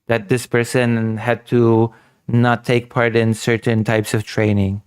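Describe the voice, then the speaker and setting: A man's voice: medium pitch, clear, resonant tone. A man hosting a political commentary show who repeats his points emphatically. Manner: emphatic, repetitive.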